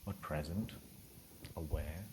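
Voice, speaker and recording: A man leading a guided meditation in a gentle, soothing, unhurried way with long pauses between phrases. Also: extremely low pitch, background noise.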